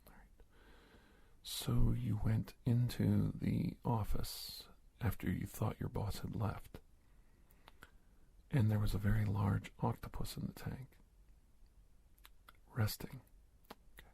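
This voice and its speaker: Man acting husky, soft-spoken, hesitant investigator. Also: steady tone, exaggerated voice. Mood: curious, attentive. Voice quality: husky, breathy, gravelly low voice. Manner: halting, hesitant, courteous, deliberate phrasing. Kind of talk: ASMR roleplay, soft-spoken with whispering.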